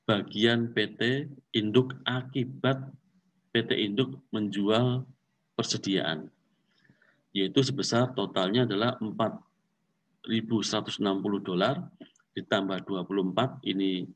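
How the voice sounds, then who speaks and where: A man's voice: lowish voice, recorded from a distance. A man lecturing on accounting, walking step by step through calculations, repeating figures and mixing in English accounting terms.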